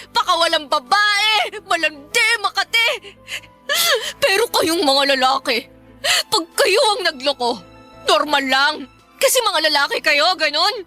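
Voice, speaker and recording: Very high, bright, defensive unfaithful wife. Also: female voice, exaggerated voice. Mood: bitter, tearful. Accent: Filipino. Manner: emotional, hesitant then heated. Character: guilty, defensive unfaithful wife pleading and lashing out. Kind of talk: Tagalog radio drama acting.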